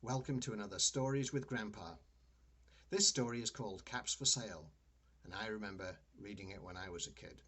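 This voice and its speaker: An older man, a grandfather, reading a children's story aloud in an expressive, deliberate way. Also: fairly low pitch, husky.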